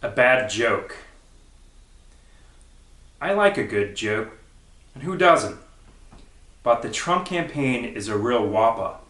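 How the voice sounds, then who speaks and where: Bright-voiced man reciting in echoey room. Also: medium pitch, very animated, takes it slowly, pauses often. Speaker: poetry reader. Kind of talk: poetry recital. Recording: roomy, echoing recitation.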